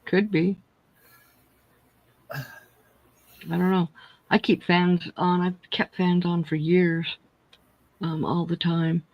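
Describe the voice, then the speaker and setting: A talkative woman in her sixties on a casual livestream, telling long family stories and calling out to viewers. Her audio is dry and a little muffled, as if it came through lo-fi gear. Her pitch is middling. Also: little pitch movement, slow, pauses often, dry recording. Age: 60s. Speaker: livestream co-host. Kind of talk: casual chat.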